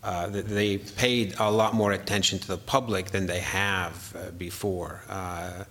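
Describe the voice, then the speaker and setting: A man's voice: deep voice, steady tone, measured pace, fairly bright tone, long reverb tail. A man in his fifties, originally from Syria, answering interview questions as a structural engineer, hesitant with many fillers.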